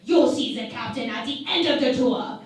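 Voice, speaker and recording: A woman's voice: on the high side, close to an everyday voice. A woman playing a breezy, suggestive chief medical officer aboard a starship in a parody radio play. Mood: smooth, official. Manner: unhurried, suggestive, breezily reassuring. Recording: very echoey room, distant mic, faint noise.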